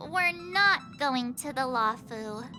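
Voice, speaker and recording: An excitable young girl; chirpy, very high-pitched. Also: slow, music in the background. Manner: chirpy, playful. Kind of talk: game voice acting.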